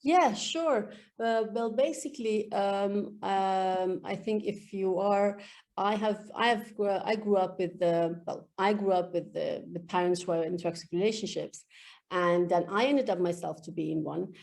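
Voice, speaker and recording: A woman whose first language is Farsi, answering as a guest on a video-call interview about her tapping practice, her pitch often lifting as she tells a story. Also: unhurried, creaky, a little mellow.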